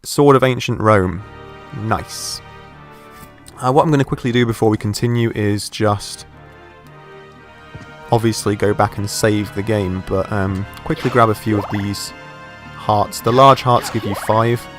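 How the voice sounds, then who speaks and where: A man with a croaky voice from a sore throat, casually commenting while he plays a game and thinks aloud. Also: on the low side, steady tone, unhurried, faint music behind. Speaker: gameplay commentator. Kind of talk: casual let's play commentary.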